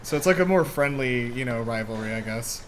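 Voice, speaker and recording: A man co-hosting a casual movie reaction, explaining game lore in an animated way with plenty of swearing. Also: medium pitch, a little fast, slight background noise. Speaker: movie reaction show co-host.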